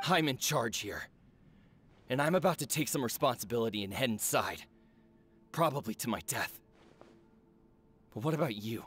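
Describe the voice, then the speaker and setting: An actor playing an earnest young male smith in a game cutscene, talking intensely and defiantly with a gravelly edge, over music. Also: mid-range voice, fairly bright tone. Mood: stern. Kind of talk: video game voice acting. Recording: game audio with music underneath.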